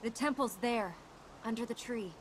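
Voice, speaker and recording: A woman's voice: fairly high pitch, moderate pace, natural voice. An actress playing a young princess with magic who speaks earnestly but guards her secrets. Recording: over loud game music.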